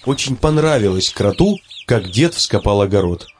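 Lively male storyteller, swinging intonation. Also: fairly low pitch, moderate pace, slight background noise. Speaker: narrator. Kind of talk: storybook narration for a children's cartoon.

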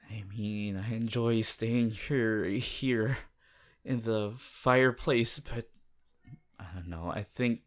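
A man playing a shy, awkward, lovestruck teenage anime boy hero in a halting, stammering romantic roleplay addressed to the listener. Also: on the low side. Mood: bashful. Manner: halting, stammering, flustered, giggly.

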